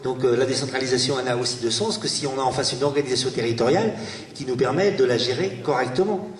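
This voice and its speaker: A man speaking as a local elected official at a public meeting, posing rhetorical questions and pausing hesitantly. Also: mid-range voice. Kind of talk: political speech at a public meeting. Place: large reverberant meeting room. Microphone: distant microphone. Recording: echoey hall, distant mic, faint noise.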